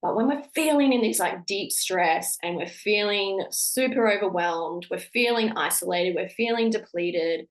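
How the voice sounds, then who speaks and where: A woman in her thirties, a little croaky and congested, hosting a solo podcast about motherhood in an encouraging, conversational way with frequent ums and restarts. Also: mid-range voice, unhurried, few pauses, low-quality recording. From Australia. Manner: warm encouraging tone with filler-heavy restarts. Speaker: podcast host.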